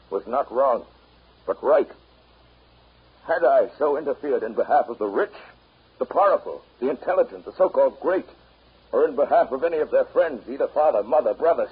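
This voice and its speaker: A very husky old man of righteous conviction. He speaks in grave, deliberate oratory like a condemned man addressing his judges. The sound is a narrow, phone-like 1930s radio drama recording. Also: mid-range voice. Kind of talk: 1930s radio drama acting, courtroom speech.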